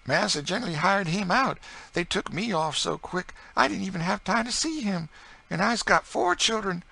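A man voicing a grieving enslaved mother with a rural Southern accent, high and plaintive. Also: expressive, normal speed, creaky, bright, forceful tone, full character voice. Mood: sorrowful. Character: frightened grieving enslaved woman, middle-aged mother.

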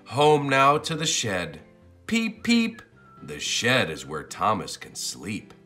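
Guy reading a kids book aloud, sing-song, really bright voice, soft music behind. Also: lowish voice, huge pitch swings. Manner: sing-song, deliberate delivery. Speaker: storybook narrator. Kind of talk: expressive children's read-aloud.

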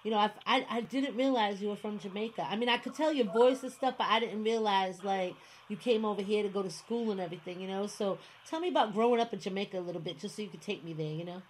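A woman's voice: medium pitch, fast talker, few pauses, a little hiss or hum, low-quality recording. Chatty woman radio host, rambling. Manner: chatty, rambling, many fillers and laughs. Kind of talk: casual interview chat.

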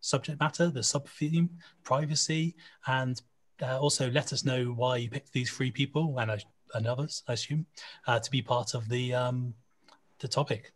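A man's voice: medium pitch, steady tone, moderate pace. Man moderating a video-call panel. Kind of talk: casual panel hosting.

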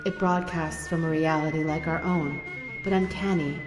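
Woman doing a spooky scripted show intro, lowish voice, measured and mysterious, faint music. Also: steady tone, reverberant, big-room sound. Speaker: host and narrator.

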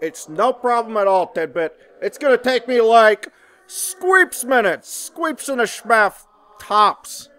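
Guy doing a big lovable goofball handyman, cartoony, high clear voice, childlike rambling. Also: expressive, slow pace, pushed and bright, full character voice. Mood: eager, flustered. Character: big, sweet, goofy man-child handyman. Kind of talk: cartoon character acting.

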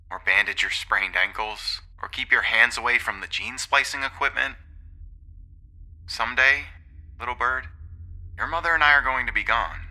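Audio drama father: lowish voice, unhurried, gentle, grave and earnest, halting delivery. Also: slight background noise, poor audio. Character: gentle, grave father confiding in his young daughter.

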